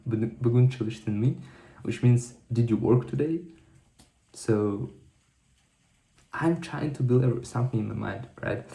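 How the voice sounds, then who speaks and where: A man's voice: mid-range voice, unhurried, vocal fry, long pauses, mellow. A man talking casually to camera in a diary-style vlog, rambling and correcting himself as he thinks aloud. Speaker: vlogger. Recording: echoey room.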